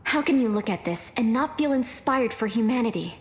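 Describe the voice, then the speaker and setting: Earnest, high-voiced superhero. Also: female voice, animated, a little fast, husky. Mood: awed. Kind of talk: video game voice lines.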